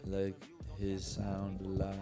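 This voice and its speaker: A man co-hosting a casual music reaction podcast, relaxed and slangy, giving short replies. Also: low voice, slow pace. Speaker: podcast co-host.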